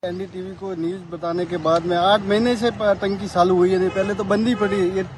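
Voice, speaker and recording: A man's voice: high-pitched, level tone, a little fast. A local man in an informal outdoor interview, speaking in short, repetitive phrases. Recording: outdoor interview with faint noise.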